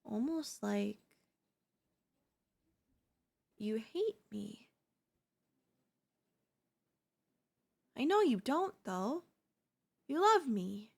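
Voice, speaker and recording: A woman playing an obsessive female pop idol turned kidnapper in an ASMR roleplay, speaking in a soft, coaxing, doting way with menace underneath. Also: sits high, very animated, takes it slowly, vocal fry, heavily acted. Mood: hurt, insistent.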